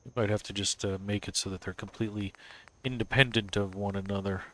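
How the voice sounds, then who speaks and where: A somewhat low-voiced man, stuffy and sniffly from a cold. He talks to himself in a loose, casual way, muttering and thinking aloud as he builds. It is relaxed live-stream commentary. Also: quick pace, a little hiss or hum.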